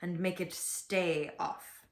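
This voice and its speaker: A woman voicing an impatient, commanding storybook king who barks orders loudly. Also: lowish voice, flat delivery, slow, vocal fry, echoey room. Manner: loud, bossy commands.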